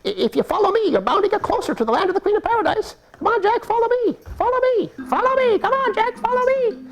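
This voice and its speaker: A tiny storybook bird chirps in a bright, very high voice, eagerly calling and urging someone to follow her, as a man puts her on. The voice sits far above a man's usual range, lively and insistent. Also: fast. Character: chirpy little female bird, eager guide.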